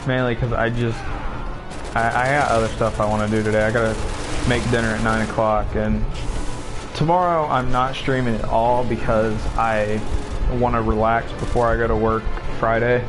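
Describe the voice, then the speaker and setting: A 19-year-old man streaming a video game, chatting casually and candidly and cursing freely, with a voice deeper than most people his age. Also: steady tone, normal speed. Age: 19, late teens. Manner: laid-back, candid, swears often. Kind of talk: casual rambling chat over gameplay. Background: game music and sounds.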